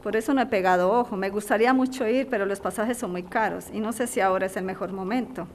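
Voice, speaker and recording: An actress plays a worried migrant mother, torn and polite. Her voice is medium in pitch. She explains herself conversationally, over faint background ambience in an audio drama. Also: fast, slight background noise, close to an everyday voice. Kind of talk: podcast radio drama acting, conversational.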